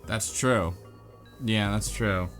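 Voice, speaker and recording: A man leads a loose, casual group chat, thinking out loud and circling back on himself as he talks. Faint music plays underneath. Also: fairly low pitch, takes it slowly. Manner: rambling, thinking aloud with many restarts. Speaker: podcast host.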